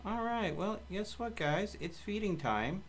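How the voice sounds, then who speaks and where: A man's voice: sits high, lively intonation, unhurried, a little hiss or hum. A man streaming a video game and bantering casually with a co-player in a wordy, argumentative, exasperated way.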